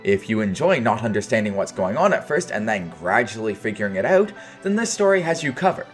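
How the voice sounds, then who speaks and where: A man's voice: fairly low pitch, very animated, a little fast, faint music behind. A man delivering a scripted video review in casual, opinionated phrasing with dry understatement.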